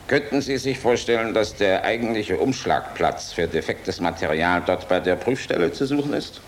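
An actor in a German radio play, playing a middle-aged air force general, weary and decent, measured and frank with dry irony. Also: male voice, low-pitched. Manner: measured, frank, dryly ironic. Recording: noisy radio play recording.

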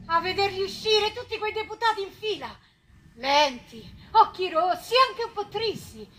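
A woman's voice: very high-pitched, animated, normal speed, fairly bright tone, a little hiss or hum. An actress playing a wondering Roman townswoman in theatrical storytelling.

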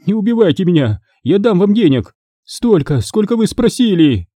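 A man's voice: high-pitched, expressive, normal speed, mellow, dry recording, studio quality, pitched above the performer's own voice. A frightened older woman from a crime audiobook, acted out by a man, in a sharply raised voice. She wails and wheedles, flustered and coarse, with swooping intonation. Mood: terrified, pleading. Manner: wailing, pleading, flustered.

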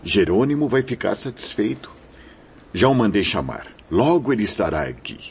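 An actor playing a kindly paternal priest who counsels and moralizes in a measured, preaching radio drama manner. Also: male voice, lowish voice, sing-song. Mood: benevolent, welcoming. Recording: dull lo-fi audio with background noise.

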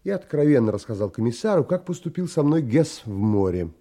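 A composed male storyteller in an audio drama. His tone is mellow and mid-pitched. He recounts his own story earnestly and with measure. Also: very animated, brisk. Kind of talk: first-person audio drama narration.

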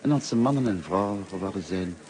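A man's voice: sits low, lively intonation, fast, a crowd in the background. An actor playing a courteous, lonely old male French teacher in a radio drama. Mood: wistful. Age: older. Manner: formal, courteous, hesitant. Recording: noisy old radio play recording.

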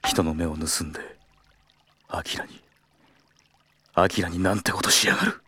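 A man acting a protective, hot-tempered senior colleague in an audio drama, firm and formal in confrontation, otherwise blunt and rough. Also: deep voice, fairly even intonation, normal speed, pushed and bright, heavily acted. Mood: cold anger.

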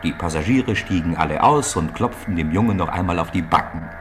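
Very deep-voiced male radio storyteller. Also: faint music behind. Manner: measured, expressive storyteller. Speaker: narrator. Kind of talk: scripted storytelling, 1950s radio play.

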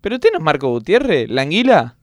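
A man's voice: on the high side, big pitch swings, fast talker, no echo, clean studio sound. An Argentine man playing a deferential, inquisitive henchman in a comedy radio sketch. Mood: puzzled.

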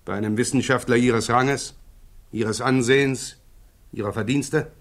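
A man playing a sharp, sceptical prosecutor who interrogates a witness with probing, sardonic insistence in a 1960s German radio play. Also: mid-range voice, fairly even intonation, a little slow, lightly acted. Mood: cool, insistent.

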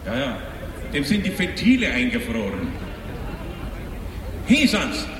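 A man's voice: mid-range voice, wildly swooping pitch, a little slow. A man with a Bavarian accent making rambling, colloquial, self-correcting live announcements as an outdoor event host. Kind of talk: improvised live stage announcing. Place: outdoors in a cold city space. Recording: echoey outdoor PA, distant, noisy.

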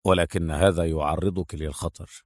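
A man's voice: deep voice, moderate pace, slightly rough, no room sound, voice pushed down. A man voicing a stern, sardonic secret agent with a dry, cold manner in an audiobook. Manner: dry, clipped, muttering through teeth. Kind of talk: acted audiobook dialogue.

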